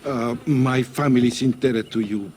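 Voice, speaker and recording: An actor playing a humble Mexican father in a video game, speaking halting, polite English with a Mexican accent. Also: medium pitch, fairly even intonation, darkish tone, slight background noise, lightly acted. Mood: grateful, apologetic. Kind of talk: video game cutscene acting.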